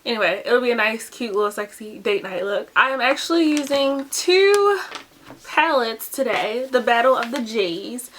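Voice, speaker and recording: A woman's voice: a little high, lively intonation, normal speed, fairly bright tone, slight background noise. A young woman chatting casually through a makeup tutorial at home, close to the camera, with a dry, groggy throat and lots of "like" and "um". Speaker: beauty vlogger.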